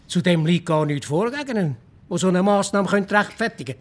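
An actor playing a blunt, pragmatic village official in a radio play, matter-of-fact and fair, with a Swiss German accent. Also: male voice, high voice, lively intonation, brisk. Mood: dismissive, protective. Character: plain-spoken, pragmatic male village official, exasperated but fair.

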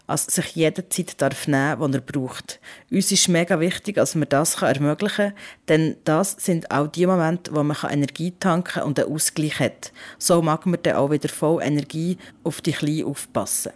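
A woman's voice: low-pitched, normal speed. A woman with a Swiss German accent hosting a podcast in a conversational narrating style. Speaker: host.

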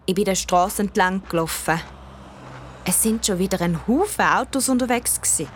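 A woman's voice: medium pitch, normal speed, slight background noise, lightly acted. A female performer playing a thoughtful young girl who narrates her own story in a radio play, with a Swiss German accent. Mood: reflective.

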